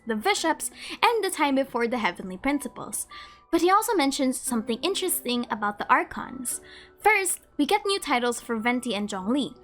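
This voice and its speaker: A woman with a high voice and a lively, swooping delivery narrates game trivia over faint music. She keeps it chatty and playful, slipping casual asides into her script. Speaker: host of a game trivia video.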